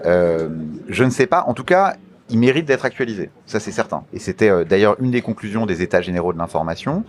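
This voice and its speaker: A man giving a formal interview answer as a guest, speaking in a measured, deliberate way with frequent pauses. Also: fairly low pitch, expressive. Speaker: interview guest, media regulator official.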